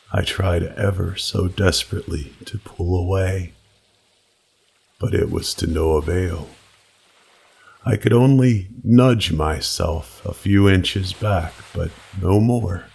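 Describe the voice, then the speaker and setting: A man narrating a scripted first-person horror story with deliberate, dramatic pauses. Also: deep voice, gravelly, a little mellow, a little hiss or hum, low-quality recording.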